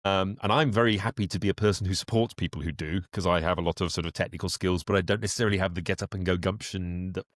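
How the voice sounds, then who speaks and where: A man with a fairly low voice, recorded close and dry. He chats as an interview guest, talkative and inclined to wander through his explanations. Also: steady tone, fast, hardly any pauses, dry recording. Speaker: guest, voice actor and director. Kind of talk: conversational podcast interview.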